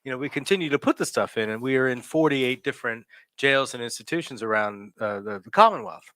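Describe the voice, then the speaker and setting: A man's voice: medium pitch, expressive, fast talker, pushed and bright, dry recording. A man in his forties hosting a podcast, chatting casually, rambling and correcting himself, laughing often. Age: 40s. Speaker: podcast host. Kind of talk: casual chat.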